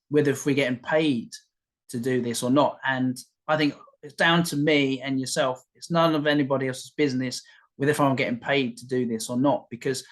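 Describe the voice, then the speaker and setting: A man hosting a podcast over a video call, chatting casually and often restarting his sentences. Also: mid-range voice. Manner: rambling, repeats words and restarts, jokey asides. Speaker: podcast host. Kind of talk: casual conversational chat.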